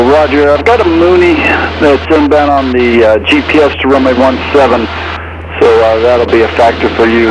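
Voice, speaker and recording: Male air traffic controller over noisy radio. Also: mid-range voice. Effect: aviation radio. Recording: radio transmission with engine noise.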